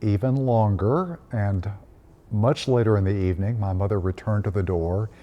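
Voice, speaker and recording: A priest in his fifties from Tennessee, telling Christmas memories in a gentle, unhurried way with frequent "uh" fillers. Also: on the low side, lo-fi sound. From Tennessee, United States. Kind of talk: reflective Christmas storytelling message.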